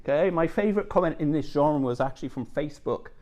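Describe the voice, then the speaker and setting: A man's voice: fairly high pitch, expressive, fast talker, mellow. Man giving a chatty academic talk. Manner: conversational, checks in with frequent "okay" and "yeah". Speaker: academic presenter. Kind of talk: conference talk.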